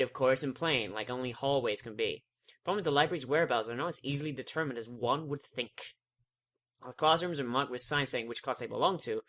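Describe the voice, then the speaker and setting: A man's voice: medium pitch, fairly bright tone. A man reading a visual novel aloud, cutting in with sarcastic, sweary jokes. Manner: sarcastic, swears freely, breaks off to joke. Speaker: let's player.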